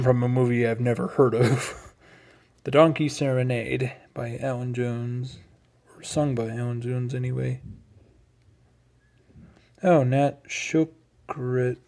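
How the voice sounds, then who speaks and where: Man with an even, casual, rambling delivery. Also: medium pitch, slight background noise. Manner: rambling, hesitant asides. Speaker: record collector presenting a collection. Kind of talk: casual unscripted show-and-tell.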